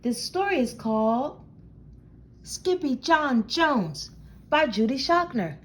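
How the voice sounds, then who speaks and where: A woman reading a children's picture book aloud in an expressive, deliberate storytelling manner. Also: high-pitched. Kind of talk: animated picture-book read-aloud narration.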